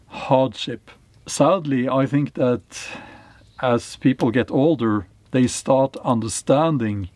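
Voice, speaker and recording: A middle-aged Norwegian man speaking English with a Norwegian accent, giving a slow, reflective monologue to camera, with pauses and fillers. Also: medium pitch, a little mellow, dry recording. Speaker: channel host, historical novelist. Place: small cave in a forest.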